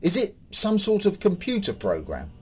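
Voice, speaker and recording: A man voicing a haggard, anxious, doomed man in a horror story, hushed and pleading. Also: high voice, huge pitch swings, moderate pace, slightly rough, voice pushed up, full character voice.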